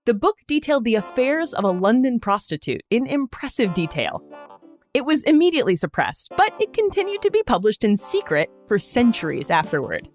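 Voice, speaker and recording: Female podcast narrator, higher voice with lively intonation, wry conversational delivery of a script. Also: a little fast, faint music behind. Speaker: podcast host.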